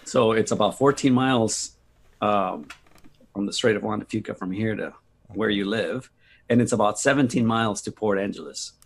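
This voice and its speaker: Low, slightly husky man, casual guest. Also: moderate pace. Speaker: guest on a live stream. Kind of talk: casual chat on a video call. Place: remote video call.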